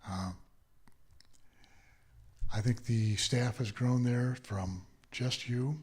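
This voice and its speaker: A man who belongs to the temple introduces the guest speaker haltingly, with many fillers. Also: mid-range voice, fairly even intonation, a little mellow, slightly rough. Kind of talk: informal introduction.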